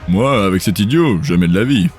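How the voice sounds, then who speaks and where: A cynical male scout acted by a man in an audio drama. He speaks in a lowish voice at a quick clip, offhand and matter-of-fact, gruff in temper. Soft music plays under the lines. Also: lively intonation, natural voice. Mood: dismissive, wry. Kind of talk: audio drama character dialogue.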